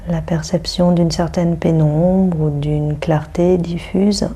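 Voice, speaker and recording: A woman leads a guided relaxation very slowly, with long silences between phrases. Her voice is on the low side and husky, with a soothing, hushed delivery. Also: fairly even intonation. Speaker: yoga nidra guide. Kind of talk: guided meditation.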